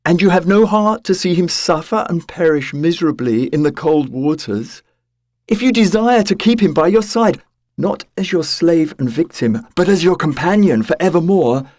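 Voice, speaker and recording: A man's voice: on the high side, darkish tone, dry recording. Man playing an earnest, faithful young lover, dry sound. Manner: earnest, solemn, dramatic. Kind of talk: audio drama acting.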